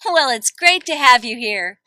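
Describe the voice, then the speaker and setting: A woman studio host speaks in a high, lively voice that swings widely. She sounds like a chirpy presenter guiding children through a scripted session, with a close, dry sound. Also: a little slow, dry recording, faint music behind. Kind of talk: scripted, upbeat instructions to children.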